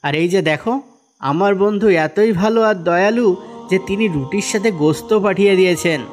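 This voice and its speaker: A man's voice: high voice, a little fast, lightly acted. A man voicing a kindly, devout and patient fisherman husband in a cartoon, speaking with assured, explaining manner. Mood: grateful, devout. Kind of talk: animated cartoon dialogue in Bengali. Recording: music behind the voice.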